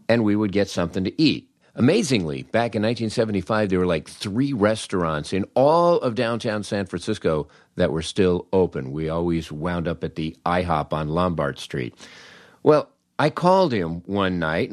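Older male host, lowish voice, wry conversational storyteller with emphatic delivery, recorded dry. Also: dry recording. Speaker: podcast host and former radio disc jockey.

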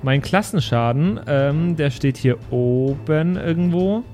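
A man's voice: on the high side, animated, slow pace, a little mellow. A man chatting casually as a player in an improvised tabletop role-playing game. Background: music under the voice.

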